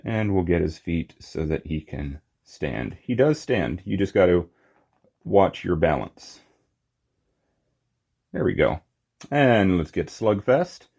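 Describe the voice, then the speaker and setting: A man giving a casual, unscripted hands-on toy review, talking in short, halting phrases on a slightly muffled recording. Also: low-pitched, very animated, gravelly, darkish tone, breathy edge. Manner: halting, short phrases while handling objects.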